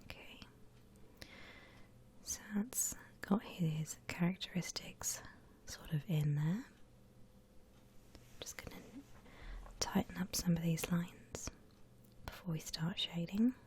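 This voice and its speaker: A woman whispering gently and breathily as she guides listeners through a drawing, in the style of an ASMR tutorial. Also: on the low side. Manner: gentle, unhurried step-by-step explaining. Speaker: host of an art ASMR channel.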